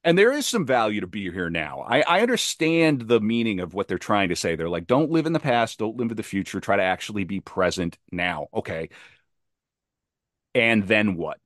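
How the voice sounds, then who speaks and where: A man with a low voice, recorded close and dry. He talks as a podcast guest, earnest and discursive, circling back and repeating words to press his point. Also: very animated, moderate pace, no echo. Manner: earnest, discursive, emphatic repetition. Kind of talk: casual reflective conversation.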